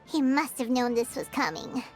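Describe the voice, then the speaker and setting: A woman's voice: animated, normal speed. A woman voicing a tiny, eager female fairy companion in a video game, who talks about herself in the third person. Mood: chirpy. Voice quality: high squeaky childlike voice. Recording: game audio with music behind.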